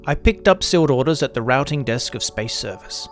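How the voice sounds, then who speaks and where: A man works as host and narrator, reading scripted first-person fiction. His pitch is average for a man, and faint music plays underneath. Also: expressive, brisk.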